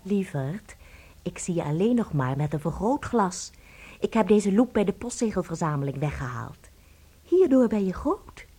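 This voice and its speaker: A husky-voiced doting wife in a radio play. Her pitch swoops widely as she coos, frets and exclaims. She talks with great tenderness, as if to someone small and precious. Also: big pitch swings, normal speed, mellow. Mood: consoling, tender. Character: doting wife fussing over her shrunken husband.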